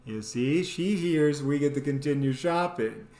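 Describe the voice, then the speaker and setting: A man telling a casual, comedic story in a rambling, animated way, as the host of a vlog. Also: fairly high pitch, measured pace. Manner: animated, rambling, mimics people in exaggerated voices. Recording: roomy, echoey sound.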